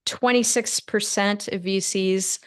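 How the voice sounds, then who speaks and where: A woman's voice: medium pitch, fairly even intonation, takes it slowly, clear, resonant tone. A middle-aged woman from Northern California hosting an interview, talking in long rambling turns full of fillers and restarts. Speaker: podcast host. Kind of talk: interview conversation.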